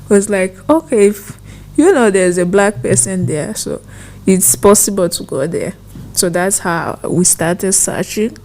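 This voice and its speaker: Young woman, from rwanda, unhurried, low dark voice, thoughtful storytelling. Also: expressive. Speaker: guest, former foreign student. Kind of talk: conversational storytelling.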